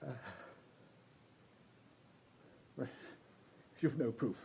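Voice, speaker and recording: An actor playing an evasive, uneasy murder suspect in a radio drama, hesitant and defensive. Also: male voice, fast talker, mellow, lightly acted. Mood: rattled, defensive.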